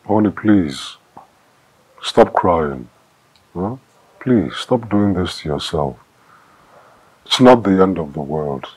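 An actor playing a husband gently pleading with his weeping wife to calm down. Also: low-pitched, slow pace, long pauses, breathy edge, heavily acted, rough recording. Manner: soothing, pleading, gentle hums. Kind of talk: film drama acting.

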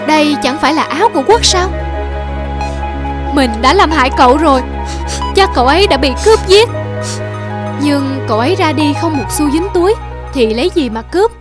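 A woman's voice: sits high, animated, a little fast, pauses often. A woman voicing a loyal, earnest young householder in a cartoon folk tale, with an emotive, pleading delivery. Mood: distraught. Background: music.

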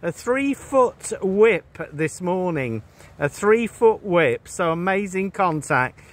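A man working as an amateur radio operator, making a radio contact outdoors in a halting, repetitive way. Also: high-pitched, sing-song. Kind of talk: ham radio contact chat. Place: outdoors on a rocky seashore.

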